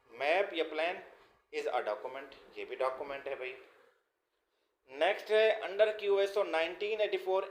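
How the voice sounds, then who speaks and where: A Pakistani man lectures in a fairly high voice at a slow, deliberate pace. He reads out material and explains it step by step, rephrasing as he goes. The sound is echoey, as in a bare room. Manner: explanatory, repeats and rephrases points. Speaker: law lecturer. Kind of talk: exam lesson, reading out questions then explaining them.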